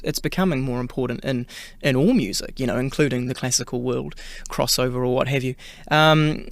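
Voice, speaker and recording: A man's voice: medium pitch, no echo. A man from New Zealand with a New Zealand accent, chatting as a singer guest in a radio interview, with many fillers and restarts.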